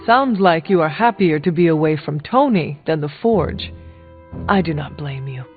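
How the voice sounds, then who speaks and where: An actor playing an earnest, stoic superhero companion in a video game, speaking formally and in a measured way. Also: male voice, a little high, lively intonation, natural voice. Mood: dry teasing. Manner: formal, measured, without contractions. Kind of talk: video game character dialogue. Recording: tinny band-limited sound, music behind. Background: game music.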